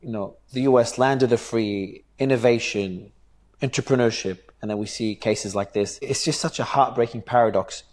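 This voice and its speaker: A man's voice: medium pitch, moderate pace, darkish tone. A man hosting an interview, asking questions in an earnest, searching way with hesitant restarts. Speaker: interview host.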